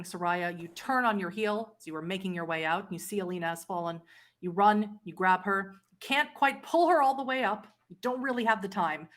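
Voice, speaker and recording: Female game master, mid-pitched voice, dramatic storytelling delivery for tabletop roleplay. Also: fairly bright tone.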